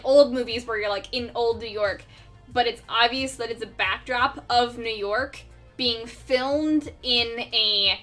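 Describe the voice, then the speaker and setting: A young woman talks casually to her stream viewers in a bright, lightly raised voice. Her talk rambles, packed with fillers, and laughter often breaks in. Soft music plays faintly behind her. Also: fairly high pitch. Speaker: artist streamer. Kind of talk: casual livestream chat with viewers. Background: faint background music.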